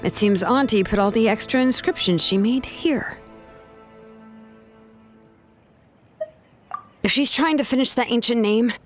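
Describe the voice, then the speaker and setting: Woman playing a higher-pitched, shrewd young investigator. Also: faint music behind, lightly acted. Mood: focused. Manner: probing, deliberate, firm. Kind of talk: video game voice acting.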